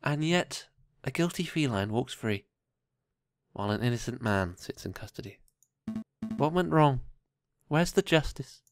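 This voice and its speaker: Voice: mid-range voice, animated, a little slow, long pauses. A game player voicing a big, brooding male falcon detective who grumbles about justice, with faint music behind. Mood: despondent.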